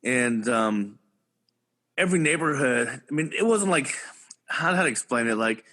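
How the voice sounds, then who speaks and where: Bright-voiced farmer guest. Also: male voice, medium pitch, lively intonation, moderate pace. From Houston, Texas. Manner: easygoing storyteller, some fillers. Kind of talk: casual interview chat.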